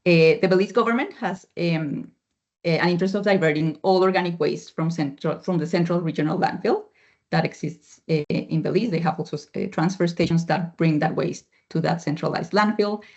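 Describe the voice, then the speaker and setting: Over a dull, lo-fi video call line comes a moderator of mid-range pitch, hesitant and full of small fillers. They frame long policy questions for the panel in a steady conversational way. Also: normal speed, vocal fry. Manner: hesitant, many fillers and restarts. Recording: muffled lo-fi video call audio.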